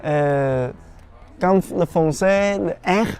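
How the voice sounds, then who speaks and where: A woman's voice: on the low side, extremely slow, darkish tone, clean tone, faint music behind. A woman who is not a native French speaker, answering unsure and hesitantly in an interview.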